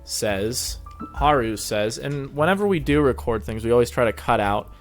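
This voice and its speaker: A man's voice: mid-range voice, moderate pace. A man hosting a casual interview, chatting in an agreeable, informal way. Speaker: show host and interviewer. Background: background music.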